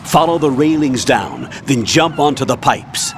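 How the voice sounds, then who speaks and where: A man's voice: medium pitch, lively intonation, measured pace, noisy background. An actor playing a curt, authoritative male military colonel in a video game, with clipped delivery. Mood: commanding. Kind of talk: dramatic video game acting.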